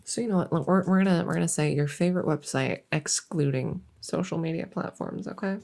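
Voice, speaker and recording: Friendly female monster under the bed, played by a woman, silly ASMR roleplay: mellow, low, soft-spoken, playful rambler. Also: a little fast, gravelly. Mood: chatty, curious.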